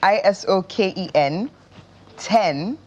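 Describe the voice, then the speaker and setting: A woman with a Nigerian accent speaking as a guest in a casual interview, explaining things in a measured way. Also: mid-range voice, lively intonation, a little mellow, muffled recording, a little hiss or hum. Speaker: interview guest, stage actress.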